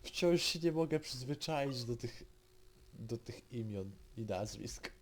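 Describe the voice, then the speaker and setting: Polish guy doing a lets play, mid voice, talks slow and halting, kinda mutters. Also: mid-range voice, lively intonation, a little hiss or hum. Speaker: gaming streamer. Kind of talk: casual let's-play commentary.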